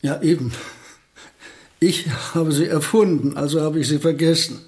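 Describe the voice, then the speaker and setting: An absent-minded old professor acted by a man in a radio play, with a fairly high male voice. He is gentle and unfailingly polite, feeling his way hesitantly through ponderous thoughts. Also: moderate pace, lightly acted. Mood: musing, earnest.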